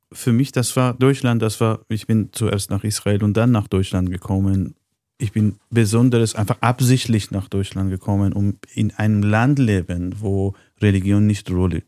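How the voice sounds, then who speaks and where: Man, dark dry voice. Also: lowish voice, no room sound. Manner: non-native German with grammar slips, searches for words. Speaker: podcast guest. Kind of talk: casual conversation.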